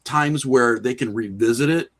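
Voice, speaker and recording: A man's voice: medium pitch, normal speed. Man chatting casually in an interview. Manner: animated explaining, frequent "you know" and "like", informal slang. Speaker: podcast guest, horror filmmaker.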